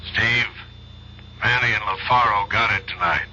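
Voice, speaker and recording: An actor playing a grave, terse narcotics bureau chief in a 1970s radio drama. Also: male voice, lowish voice, a little slow, over music, lightly acted. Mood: grave, urgent. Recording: dull vintage radio recording, faint noise.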